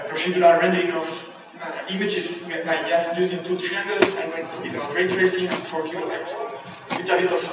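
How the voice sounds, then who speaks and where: A young man with a strong Spanish accent presents from a big auditorium stage in a fairly high, flat voice. He speaks haltingly, pausing on fillers as he searches for words. The camera catches him from far off, so the sound is dull and washed in long hall reverb. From Spain. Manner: halting, careful delivery with frequent fillers. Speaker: conference speaker. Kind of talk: technical presentation. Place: large dark auditorium stage.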